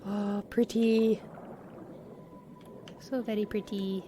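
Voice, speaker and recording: A woman's voice: mid-range voice, little pitch movement, takes it slowly, noisy background. A woman giving casual livestream commentary on a video game, thinking aloud in a playful, exclaiming manner. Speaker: game streamer. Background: game music.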